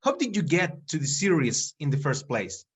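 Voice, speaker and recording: A man's voice: a little high, very animated, normal speed, breathy edge. A man from Chile with a Chilean accent in English, a native Spanish speaker, politely asking interview questions.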